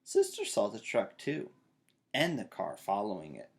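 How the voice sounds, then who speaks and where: A man's voice: on the low side, huge pitch swings, normal speed, vocal fry. A man in his thirties narrating a children's storybook aloud. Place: small child's bedroom, indoors.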